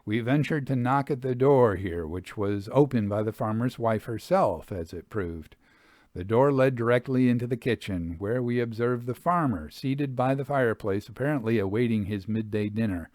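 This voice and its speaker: A man reading a Victorian account aloud as a storyteller, in a formal, measured literary manner. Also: medium pitch, a little mellow, dry recording, clean studio sound. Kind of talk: expressive scripted narration of a Victorian travel account.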